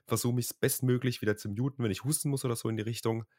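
A young man co-hosting a podcast, sounding slightly nasal from a cold and talking in a relaxed, drawn-out way. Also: mid-range voice, no room sound, studio quality. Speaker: podcast co-host. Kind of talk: casual podcast chat.

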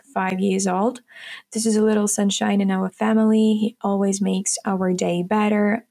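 A woman's voice: mid-range voice, creaky, warm tone. A nineteen-year-old woman from Ukraine, hosting her own podcast in a chatty, upbeat solo talk that speaks straight to her listeners. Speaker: podcast host. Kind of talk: casual solo podcast monologue.

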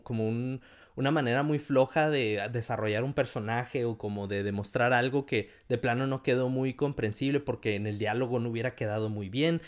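A man with a Mexican accent in a casual film chat, animated, often quoting people in a raised voice. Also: medium pitch. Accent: Mexican Spanish.

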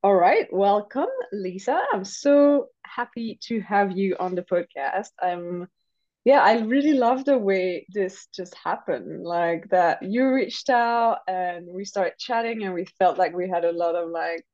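A woman's voice: mid-range voice, measured pace, a little mellow. A woman hosting a casual interview podcast, informal and thinking aloud as she phrases her questions. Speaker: podcast host. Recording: slightly dull, lo-fi close recording.

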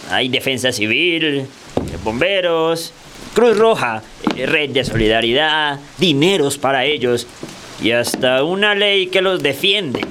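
An actor playing a bitter, fearful older Colombian husband in a radio drama, halting and complaining. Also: male voice, medium pitch, animated, background noise. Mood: dismissive.

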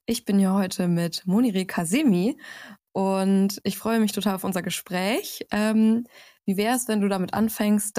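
A woman's voice: mid-range voice, darkish tone, no echo, clean studio sound. A woman hosting an interview podcast, asking curious questions in a friendly way with occasional fillers. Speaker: podcast host. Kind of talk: casual interview.

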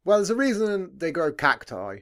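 Male podcast host, deep heavy voice, lively swooping pitch, relaxed rambling delivery with casual swearing. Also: moderate pace. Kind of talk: casual chat.

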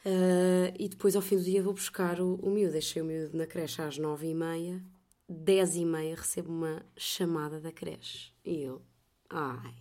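A woman with a European Portuguese accent hosting a podcast, telling stories in a chatty, animated way. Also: on the low side. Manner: chatty, animated storytelling with laughs. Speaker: podcast host; voice-over artist. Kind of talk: casual chat.

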